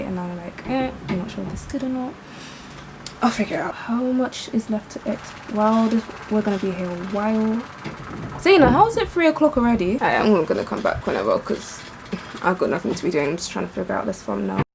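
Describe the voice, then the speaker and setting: A young woman, chatting casually to camera at home about her day, a little croaky. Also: mid-range voice, fairly quick, background noise. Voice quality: slightly croaky in the morning. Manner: relaxed, chatty, thinks aloud. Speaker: vlogger. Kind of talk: casual vlog chat to camera. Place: bedroom at home.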